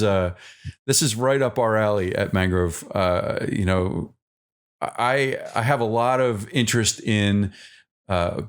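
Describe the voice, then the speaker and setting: A male podcast host with a somewhat low voice speaks in an easygoing, conversational way, laughing often and breaking off to restart his sentences. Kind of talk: casual podcast interview.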